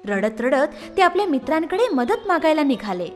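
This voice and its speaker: Woman narrator for kids' animated stories, high voice, lively lilting delivery, faint music bed. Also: moderate pace. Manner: expressive, lilting storytelling. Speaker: storyteller. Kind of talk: scripted children's story narration.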